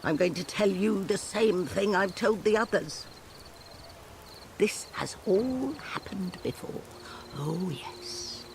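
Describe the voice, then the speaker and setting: A creaky, ancient owl talks slowly in wry, cryptic musings, like a folksy game character centuries old. The voice swings widely, with night insects audible behind it. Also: mid-range voice, animated, background noise. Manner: slow, folksy, musing, theatrical. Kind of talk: video game cutscene acting.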